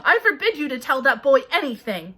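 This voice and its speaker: A woman voicing a pompous, panicky middle-aged man who gives sharp commands. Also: high voice, big pitch swings, brisk, pitched above the performer's own voice. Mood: commanding.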